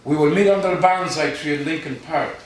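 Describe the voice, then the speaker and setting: A man's voice: on the high side, a little fast. Echoey middle-aged man reading poetry live. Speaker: poet. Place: live event in a reverberant room, audience present. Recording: very echoey hall, distant, noisy.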